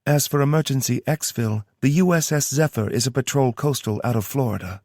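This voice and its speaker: A man voicing a brisk woman handling logistics, speaking with a twang. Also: medium pitch, darkish tone, no echo, studio quality, voice pushed up, natural voice.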